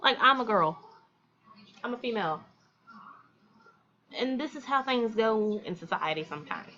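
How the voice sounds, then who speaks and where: A woman's voice: a little high, animated, normal speed, sharp tone, slight background noise. American woman gaming host, slightly dull mic. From Texas, United States. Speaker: let's play host. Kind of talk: visual novel read-aloud with casual commentary.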